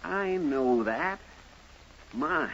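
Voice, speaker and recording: Folksy, loyal frontier deputy. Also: male voice, fairly high pitch, expressive, drawn-out words, fairly bright tone, heavily acted. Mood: quiet, loyal. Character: loyal, deferential, folksy male deputy sidekick. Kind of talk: 1950s radio western acting. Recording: dull, hissy 1950s radio recording.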